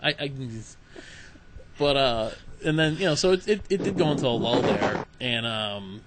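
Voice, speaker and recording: A man's voice: medium pitch, moderate pace. A man hosting a podcast, chatting eagerly as a fan. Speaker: podcast host. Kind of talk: casual chat. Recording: clear steady background hiss.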